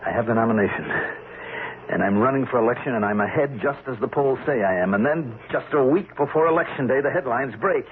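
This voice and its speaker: An actor playing an anxious, guilt-ridden senator with a fretful, pleading manner in an old-time radio drama. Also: male voice, medium pitch, fast talker, natural voice. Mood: agitated, fearful. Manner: fretful, pleading, dwells on his fears. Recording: noisy, tinny old radio broadcast.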